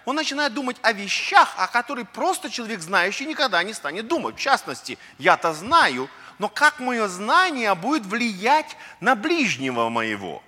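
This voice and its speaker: A man's voice: high-pitched, very animated, moderate pace, hardly any pauses, pushed and bright. A middle-aged man preaching a conversational sermon, earnest and full of rhetorical questions, sometimes stumbling over words and restarting. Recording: long reverb of a large hall.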